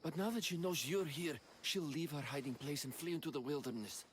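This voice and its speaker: A nervy stage performer, voiced by a man, with a fairly high voice over faint ambient noise. He speaks earnestly and dramatically, like a shaken man in a game cutscene. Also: brisk, close to an everyday voice. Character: anxious, rattled stage actor craving godlike confidence. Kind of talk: video game cutscene acting.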